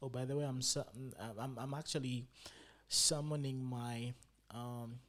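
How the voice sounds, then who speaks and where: A man's voice: medium pitch, unhurried. Chatty man narrating live trades. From Zambia. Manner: loose and chatty, full of fillers and restarts; bursts into loud excited exclamations. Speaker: meme coin trader showing his trades. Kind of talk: live screen-share trading commentary.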